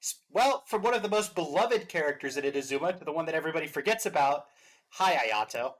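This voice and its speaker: A man's voice: on the high side, expressive, fast talker, fairly bright tone. Man giving casual reaction commentary. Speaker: reaction show host.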